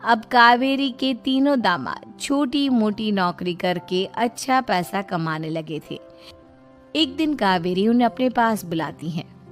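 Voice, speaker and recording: A woman's voice: medium pitch, lively intonation, a little slow. Female moral-story narrator over music.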